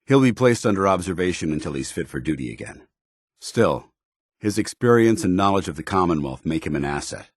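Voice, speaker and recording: An actor playing a stern, honorable soldier in a video game, with a gruff voice and a clipped, formal, earnest delivery. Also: male voice, sits low, animated, fairly quick, no room sound, studio quality. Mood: solemn, dutiful. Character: stern, duty-bound military paladin, gruff and honorable adult man. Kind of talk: video game companion dialogue.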